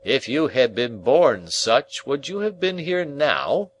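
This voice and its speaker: A man voicing a pompous, blustering middle-aged man who lectures a boy in a loud, drawn-out, self-important way. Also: medium pitch, very animated, pitched above the performer's own voice. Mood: hectoring.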